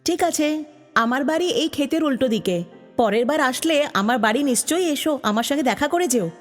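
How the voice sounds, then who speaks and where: A very high, girlish voice for a kind and curious fairy-tale heroine, acted by a woman. It is cartoon dialogue in a long reverb, with music underneath. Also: animated, fairly quick. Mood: friendly.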